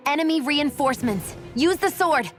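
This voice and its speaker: A woman's voice: high voice, animated, moderate pace, faint music behind. An actress playing a cool, impatient young warrior woman in a video game cutscene. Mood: urgent, impatient. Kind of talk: dramatic video game voice acting.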